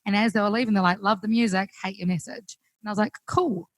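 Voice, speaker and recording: A young woman with a New Zealand accent, chatting casually as a guest musician, full of fillers and quick laughs. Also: mid-range voice, steady tone, no room sound. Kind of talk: casual chat on a call. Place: home, recorded remotely.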